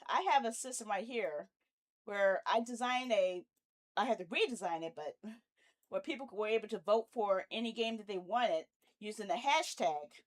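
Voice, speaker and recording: A woman chatting casually on a live game stream, playful and animated, often muttering to herself. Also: mid-range voice, moderate pace.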